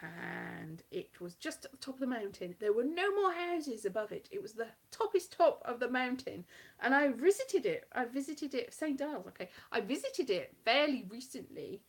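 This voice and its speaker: A woman's voice: fairly high pitch, very animated, moderate pace, creaky, husky. A Welsh woman with a light West Country-tinged accent, a comedian chatting casually on a live stream from her home kitchen in a rambling, self-mocking way. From South Wales. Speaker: comedian performing at a festival.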